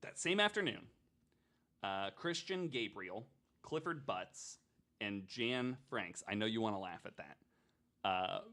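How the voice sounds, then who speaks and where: A man's voice: on the low side, expressive, unhurried, pushed and bright. A man hosting a podcast, telling a true crime story in a casual, chatty way with joking asides.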